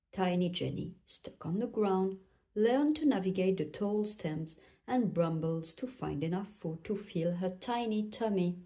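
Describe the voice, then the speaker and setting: A woman reading a children's story aloud in an expressive narrating style. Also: medium pitch. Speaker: children's book reader.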